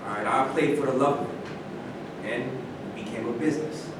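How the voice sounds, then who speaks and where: Actor as a proud ex-footballer, echoey and halting. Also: male voice, lightly acted. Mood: earnest. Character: proud, defensive ex-football player turned movie star. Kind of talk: staged play reading. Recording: distant echoey stage recording, rumbling noise.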